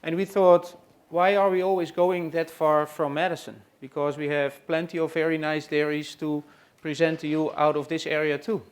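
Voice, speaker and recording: Halting Dutch-accented man presenting. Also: a little high, flat delivery. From Netherlands. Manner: hesitant, many "uh" and "let's say" fillers. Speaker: presenter hosting a farm tour session. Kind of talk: live introduction to an audience. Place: room at a trade expo.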